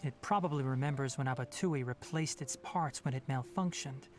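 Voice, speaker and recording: An actor playing a calm, scholarly young man in a fantasy video game, explaining things in a measured way. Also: medium pitch, natural voice. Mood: calm, gently explaining. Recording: music behind the voice.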